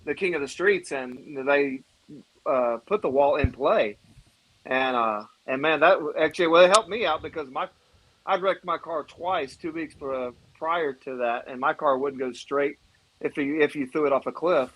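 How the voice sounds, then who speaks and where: A man with a fairly high voice chats on a live panel. He tells a loose, rambling story, padding it with "uh" and breaking off to restart his sentences. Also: moderate pace, dull audio, slight background noise. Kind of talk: casual chat, telling stories.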